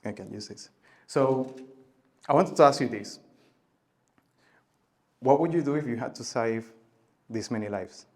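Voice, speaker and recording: Man giving a halting tech talk. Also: medium pitch. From Venezuela. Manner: halting, many filler sounds and restarts, loudness rising and falling. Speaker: conference speaker. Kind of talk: conference talk.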